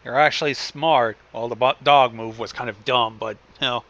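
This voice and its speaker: A man's voice: mid-range voice, steady tone, moderate pace, fairly bright tone. A man giving casual, wry, sarcastic commentary with casual swearing, over a lo-fi mic with faint noise.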